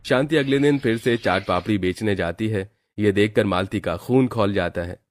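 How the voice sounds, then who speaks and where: A man's voice: fairly low pitch, normal speed, slight background noise. A man giving scripted storytelling narration for a moral cartoon.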